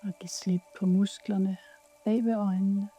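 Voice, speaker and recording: A woman leading a guided yoga nidra meditation in a soothing, soft, unhurried way with pauses. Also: mid-range voice, level tone, husky.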